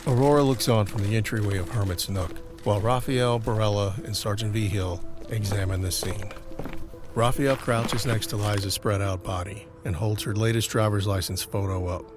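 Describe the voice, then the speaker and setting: A man narrating a scripted crime-horror audio drama in a measured, deliberate storytelling manner. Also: on the low side, fairly even intonation, over music.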